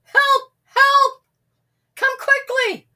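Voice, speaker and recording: A woman voicing an excitable shepherd boy in a storybook, shouting urgently for help. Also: very high-pitched, very slow, voice pushed up, full character voice. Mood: frantic, urgent.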